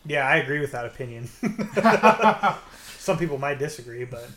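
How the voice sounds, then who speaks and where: A man's voice: medium pitch, expressive, moderate pace, fairly bright tone. An American man hosting a casual podcast chat, talking loosely with lots of fillers. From United States. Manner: loose, conversational, full of "like" fillers. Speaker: podcast host. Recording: echoey room.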